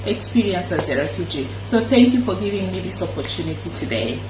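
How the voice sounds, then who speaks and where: A woman from Burundi telling her own refugee story in an earnest, unhurried way, with frequent pauses. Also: lowish voice, lively intonation, background noise. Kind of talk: personal testimony. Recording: echoey room with music behind.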